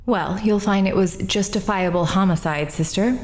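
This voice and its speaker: A woman voicing a brassy young woman in a radio play who is defensive and flippant. Her voice is mid-pitched, quick, and a little cocky. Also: a little mellow, faint music behind, lightly acted. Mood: defiant. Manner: brash, breezy, defensive, slangy. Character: brash, defensive young woman, Ms. Ross, on trial. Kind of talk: radio drama acting.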